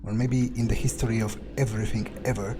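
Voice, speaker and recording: A man's voice: fairly low pitch, flat delivery, fast. A man thinking aloud in character during a video game playthrough, musing casually to himself and swearing freely. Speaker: gamer playing in character as a vampire. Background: music behind the voice.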